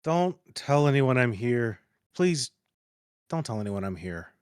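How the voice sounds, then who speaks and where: A man playing a reclusive, defensive hermit in a table read, hesitant and halting in his delivery. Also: medium pitch, very animated, a little mellow, clean tone, dry recording, clean studio sound. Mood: guarded, pleading. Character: reclusive, defensive ancient man hiding in a cave.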